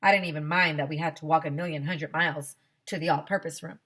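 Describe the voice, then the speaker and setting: An American woman, a teacher, reading a children's story aloud in an expressive way. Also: deep voice, fast talker, slightly rough. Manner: expressive, acting out dialogue.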